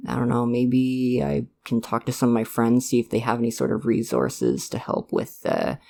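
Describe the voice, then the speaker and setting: Guy acting as an awkward supportive dad in an asmr roleplay, kinda rambly and reassuring, lots of vocal fry, mid pitch. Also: very animated, normal speed, warm tone. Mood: protective, earnest. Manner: hesitant, reassuring, rambling, earnest. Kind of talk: ASMR roleplay, one-sided conversation with listener.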